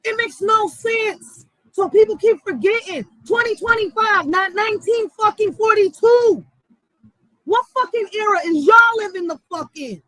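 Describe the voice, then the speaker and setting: A woman's voice: very high voice, unhurried, a bit bright, no echo, low-quality recording. A woman giving a heated, profane casual monologue, blunt and emphatic, using street slang and repeating phrases for stress. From Puerto Rico or Puerto Rican background. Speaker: channel host.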